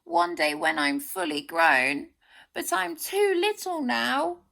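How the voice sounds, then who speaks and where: A woman's voice: very high-pitched, huge pitch swings, slow pace, creaky, sharp tone, voice pushed up, exaggerated voice. A woman voicing a little young male monster in a storybook, childlike and pleading. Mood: sad, meek.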